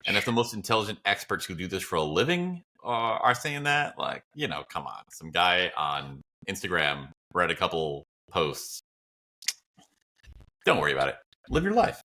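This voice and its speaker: A man's voice: low-pitched, sing-song, normal speed, bright, forceful tone. A man co-hosting a podcast, chatting casually and philosophically in a blunt, rambling, opinionated way. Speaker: podcast co-host.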